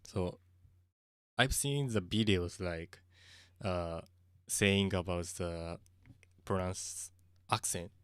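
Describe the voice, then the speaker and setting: A man with a Japanese accent, chatting casually on a livestream, halting and searching for words with frequent soft laughs. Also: low voice, clean tone, no room sound, clean studio sound. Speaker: streamer.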